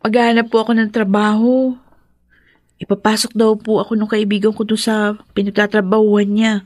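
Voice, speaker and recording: An actress playing a meek, dutiful young daughter in a Filipino radio drama, hesitant, polite and subdued. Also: female voice, medium pitch, little pitch movement, normal speed, a little mellow, no echo, lightly acted. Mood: polite, resigned.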